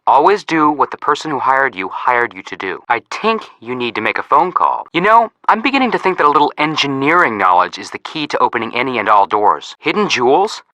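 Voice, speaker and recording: A young American detective sidekick from a video game, teasing and eager. His delivery is very lively and swings freely, with a bright, punchy tone. The sound is dry and close. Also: medium pitch, fairly quick, hardly any pauses, dry recording. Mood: sly, eager. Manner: playful, wry, quippy. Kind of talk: video game voice acting, phone hints.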